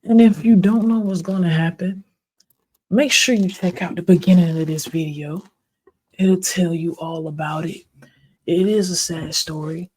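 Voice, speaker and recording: A soft-spoken reaction video host talking casually and directly to viewers. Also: medium pitch, fairly even intonation, unhurried, rough recording. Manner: soft-spoken, calls it an ASMR voice; chatty, speaks directly to viewers.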